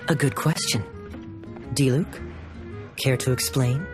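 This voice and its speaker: An actor playing a suave young officer in a video game, smooth-talking and wry with theatrical charm. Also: male voice, mid-range voice, steady tone, takes it slowly, close to an everyday voice. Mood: wry, teasing. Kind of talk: English video game voice acting. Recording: music playing behind the voice.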